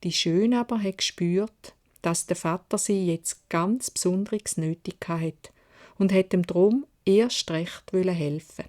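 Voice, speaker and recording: A woman's voice: on the low side, unhurried, a little mellow. Swiss German woman telling a fairy tale. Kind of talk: expressive children's story narration in dialect.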